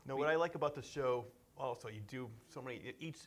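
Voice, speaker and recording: A man's voice: medium pitch, fast. A man in casual conversation, a voice actor talking as a guest on a show. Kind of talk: casual chat. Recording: clear background noise, clicks.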